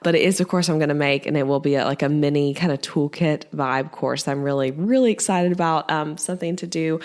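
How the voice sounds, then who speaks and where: A woman's voice: low-pitched, fairly quick, darkish tone. A woman in her thirties hosting her podcast in casual solo talk, chatty and upbeat with lots of "like"s, her voice a little congested from a cold. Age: 30s. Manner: chatty and upbeat, filler-heavy with many "like"s. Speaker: podcast host.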